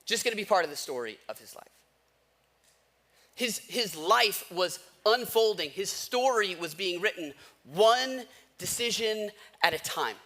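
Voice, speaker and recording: High-pitched, bright-voiced American male preacher. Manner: emphatic, repeats phrases for effect. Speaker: pastor. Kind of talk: conversational sermon with rhetorical questions.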